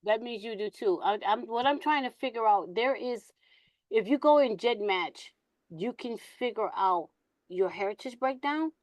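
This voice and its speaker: A woman's voice: a little high, lively intonation, normal speed, a little mellow, no echo, rough recording. A woman hosting a casual online group discussion in an informal, colloquial way.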